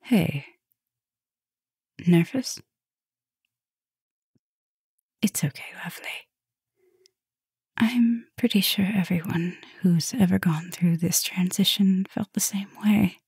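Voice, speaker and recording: A woman playing a tender, gently dominant vampire professor in an intimate ASMR roleplay, speaking softly and soothingly, often slipping toward a whisper. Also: lowish voice, dry recording, studio quality, exaggerated voice. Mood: gently reassuring. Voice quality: soft, breathy, often dropping to a whisper. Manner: slow, soothing, affectionate murmuring with pauses.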